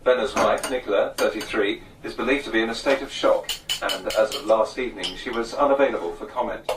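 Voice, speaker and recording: An actor playing a sober male radio newsreader, reading a scripted bulletin in a formal, measured, impersonal way. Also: mid-range voice, background noise, natural voice. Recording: distant, echoey radio amid kitchen clatter.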